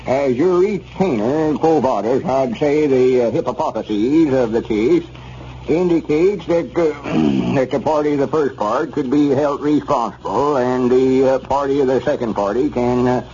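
A man playing a pompous, self-important homespun legal expert in 1930s radio comedy, halting, with a rural Arkansas accent. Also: mid-range voice, dark tone, natural voice. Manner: pompous, halting, throat-clearing, fumbling big words. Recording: muffled, lightly noisy 1930s radio recording.

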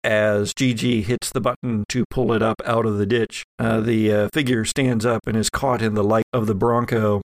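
A man working as game master, improvising halting but vivid narration for a tabletop horror game on a podcast. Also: lowish voice, level tone, dry recording.

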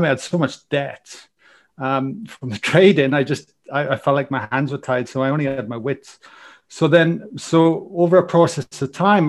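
A man in his forties with a Welsh accent, a podcast guest telling his life story in a lively, rambling way with many restarts. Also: mid-range voice. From Wales. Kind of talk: casual storytelling interview.

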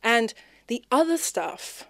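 A woman who grew up in Zimbabwe, speaking with a British English accent as a guest in a casual, reflective interview, animated and quick to laugh. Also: fairly high pitch, creaky, no room sound, clean studio sound. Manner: animated, lively, with frequent restarts and warm laughs.

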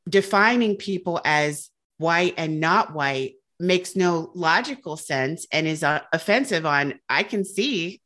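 A woman's voice: fairly low pitch, lively intonation, a little slow, few pauses, fairly bright tone. Earnest woman from the American South. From Southern United States. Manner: reflective, earnest, laughs lightly. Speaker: guest author and nutritionist. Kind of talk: casual interview chat.

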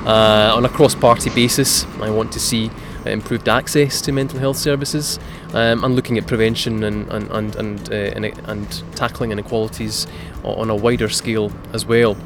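A man's voice: lowish voice, fairly even intonation, moderate pace, over music. A man who grew up in Scotland, giving earnest political answers as a candidate in an interview. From born in Italy, raised in Scotland from age seven. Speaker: parliamentary candidate.

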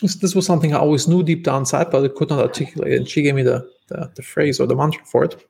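Man, German accent, raspy, gravelly, casual storytelling guest. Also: fairly high pitch, steady tone, rapid-fire, a little mellow. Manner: talkative, many fillers and restarts. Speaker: podcast guest, entrepreneur.

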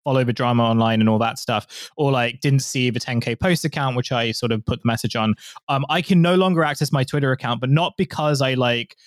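A man's voice: mid-range voice, brisk, no room sound, clean studio sound. A man hosting a podcast in a casual, rambling chat, full of fillers and restarts. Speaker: podcast host.

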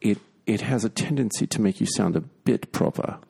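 A man's voice: on the low side, moderate pace, vocal fry, dark tone, breathy edge. A man and former actor chatting casually, rambling and restarting his sentences often. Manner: rambling, self-interrupting, frequent restarts. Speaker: podcast guest and former actor. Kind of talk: casual chat.

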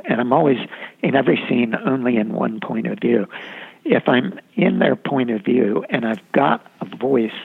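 A man, a novelist, talking reflectively about his writing in an interview, halting often with fillers and restarts. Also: mid-range voice, creaky, husky. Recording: tinny phone-line sound.

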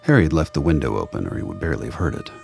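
A man's voice: rumbling low, sing-song, racing, gravelly, darkish tone, faint music behind. A man narrating a radio play in a scripted, noir-like storytelling style.

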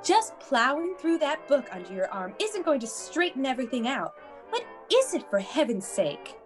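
A woman playing a high, swooping, motherly bureau manager. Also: very animated, moderate pace, soft background music. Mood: polite, businesslike. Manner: chirpy, solicitous, swooping politeness. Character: fussy, motherly woman running a college babysitting bureau. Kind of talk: classic radio play acting.

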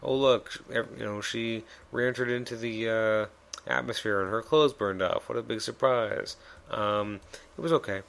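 Male podcast host, low gravelly voice a bit worn, loose rambling delivery. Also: fairly even intonation, normal speed, a bit bright. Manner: rambling, many fillers and restarts. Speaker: podcast host reviewing comics. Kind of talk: casual solo podcast review.

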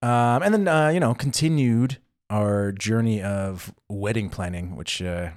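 A young man co-hosting a casual chat podcast, telling animated stories and acting out what others said. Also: fairly low pitch, unhurried, no room sound, studio quality. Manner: animated storyteller, acts out quotes. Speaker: podcast co-host. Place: living in Germany.

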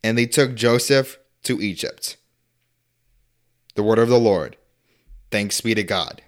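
A man's voice: on the low side, slow pace. Man reading scripture aloud. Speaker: scripture reader.

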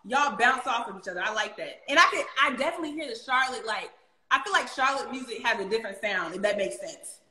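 Upbeat woman host with a high voice that praises her guest, recorded in an echoey room. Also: animated, fast talker, bright, forceful tone. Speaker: interview host. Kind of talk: casual interview chat.

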